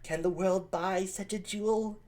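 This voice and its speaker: Casting: earnest young lover, as a reader puts him on, high and boyish, slow and eager. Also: fairly even intonation, darkish tone, lightly acted. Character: young man in love, earnest.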